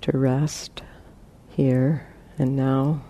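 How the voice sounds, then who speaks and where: Slow, mellow woman guiding meditation. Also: rumbling low, level tone. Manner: gentle, unhurried, spaced short phrases with long pauses. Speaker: meditation teacher. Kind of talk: guided meditation instruction.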